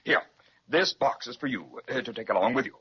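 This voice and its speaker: A man's voice: medium pitch, expressive, brisk, breathy edge. An actor playing a fussy, scatterbrained male palace courtier in a 1930s radio serial, rambling and apologetic. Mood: dithering. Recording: dull lo-fi 1930s radio recording.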